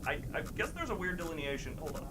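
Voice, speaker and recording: Animated man streaming a game, noisy background. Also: on the high side, quick pace. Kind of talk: casual livestream chat with gameplay commentary.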